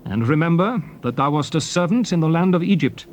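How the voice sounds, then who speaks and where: Male actor as commanding old prophet, theatrical oratory, raised pitch, solemn and emphatic, faint cassette hiss. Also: animated, brisk, a little mellow, lightly acted. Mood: stern, commanding. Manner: declamatory, grave, emphatic, with swelling phrases. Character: Moses, solemn commanding old prophet exhorting his people. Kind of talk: dramatized scripture reading, theatrical oratory.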